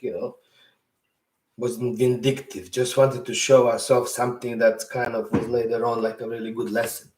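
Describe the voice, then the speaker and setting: A man from Bosnia, Serbo-Croatian his first language, tells a story slowly at home, rambling and correcting himself. His voice sits on the low side. He is set back from the mic, and the room gives it a roomy echo. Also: darkish tone, distant mic. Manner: rambling, self-correcting, chuckles often. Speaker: podcast host. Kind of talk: casual storytelling.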